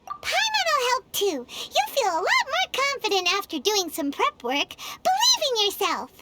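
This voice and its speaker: A woman's voice: wildly swooping pitch, measured pace, very noisy, heavily acted. A woman voicing a small, chirpy female fairy companion who speaks of herself in the third person, in a game cutscene. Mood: encouraging. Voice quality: squeaky childlike voice.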